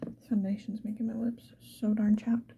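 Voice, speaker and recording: A woman whispering casually and playfully to the viewer in a chatty ASMR get-ready-with-me style, mostly in a breathy, unvoiced whisper. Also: medium pitch, level tone, normal speed, mellow, highs cut off, a little hiss or hum. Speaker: ASMR video creator.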